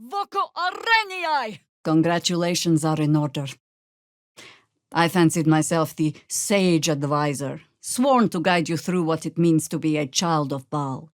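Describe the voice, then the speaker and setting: An actress playing a weathered older female druid in a video game, wry and commanding, with a dry, deliberate delivery. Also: sits low, lively intonation, dry recording. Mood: battle cry, then wry, measured. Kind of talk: dramatic game dialogue.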